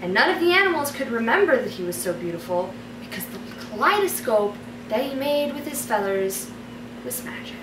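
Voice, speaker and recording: A woman's voice: fairly high pitch, sing-song, fairly quick. A woman vlogger telling stories in a casual, chatty and playful way, speaking straight to her viewers. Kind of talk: casual storytelling to camera. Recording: roomy echo, distant mic, background noise.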